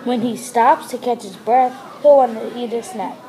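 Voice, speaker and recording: A woman reading a children's picture book aloud, deliberately and phrase by phrase. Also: a little high, dark tone, low-quality recording. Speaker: storybook reader.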